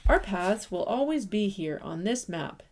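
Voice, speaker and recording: A curious, gentle forest creature who loves maps, read by a woman. She speaks softly and with quiet wonder, in a mid-pitched, even storybook voice. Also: measured pace, a little hiss or hum, lightly acted. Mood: tender. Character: curious gentle female forest animal mapmaker.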